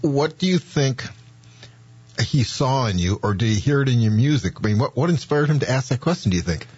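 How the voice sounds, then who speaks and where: A man's voice: medium pitch, animated, brisk, darkish tone, slightly rough, no echo, lo-fi sound. A man hosting an interview, chatty and affirming, asking his guest questions. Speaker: radio interview host.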